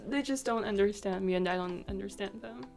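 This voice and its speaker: A woman's voice: mid-range voice, a little fast, vocal fry, darkish tone, faint music behind. A young woman co-hosting a casual podcast, bantering playfully and laughing often. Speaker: podcast co-host.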